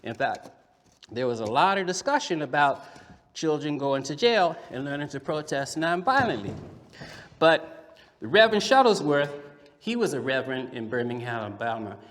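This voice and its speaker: A man voicing a lively, cheeky young boy puppet, telling a story to children in an emphatic, conversational theatrical style with deliberate pauses. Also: on the high side. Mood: earnest. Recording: echoey hall, long reverb.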